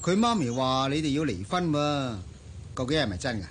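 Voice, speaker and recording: An actor playing a breezy rich bachelor with a knowing, insistent manner in a Hong Kong Cantonese radio drama. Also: male voice, mid-range voice, lively intonation, normal speed, a little hiss or hum, close to an everyday voice. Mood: sceptical. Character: breezy, pushy rich bachelor and old friend.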